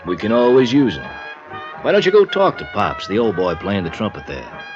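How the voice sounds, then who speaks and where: Guarded barman, low voice, laconic, world-weary, radio drama, dull vintage sound. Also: big pitch swings, moderate pace. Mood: guarded, resigned. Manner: laconic, evasive, resigned. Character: guarded, world-weary male bar worker, noncommittal. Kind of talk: 1950s radio acting. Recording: dull old radio recording, faint music. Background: faint music.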